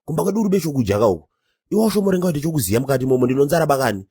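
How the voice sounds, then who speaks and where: Bossy cartoon gatekeeper uncle, played by a man: higher-pitched male, very dry close sound, grumbling and quarrelsome. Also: racing, slightly rough, no room sound. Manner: argumentative, exclaiming, animated. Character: bossy, grumbling uncle guarding the party gate. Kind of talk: comedy cartoon voice acting.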